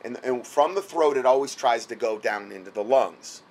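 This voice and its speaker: A man's voice: medium pitch, moderate pace, creaky. A man who is losing his voice gives casual, repetitive spoken advice late at night. He keeps checking in with the listener and often repeats words as he goes. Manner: repeats himself, frequent "okay?" check-ins. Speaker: health product seller giving advice. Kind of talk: casual instructional talk.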